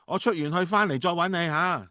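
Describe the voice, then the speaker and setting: A man playing a halting but persuasive businessman in a radio drama. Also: high voice, pushed and bright, slight background noise. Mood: pressing, eager. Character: smooth-talking businessman asking a partner for urgent money. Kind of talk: amateur Cantonese radio drama dialogue.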